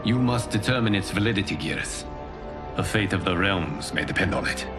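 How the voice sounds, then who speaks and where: A man playing a grave, benevolent protector god in a video game, speaking in a measured, formal, commanding way. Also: on the low side, natural voice. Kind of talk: solemn cinematic game dialogue. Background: cinematic music.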